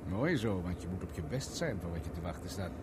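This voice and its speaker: A man's voice: sits low, expressive, fast, noisy background. An actor playing a ruthless, rich businessman in a 1970s radio drama, domineering and taunting, with a mockingly genial way of giving orders. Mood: curt menace. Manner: taunting, coolly menacing, commanding.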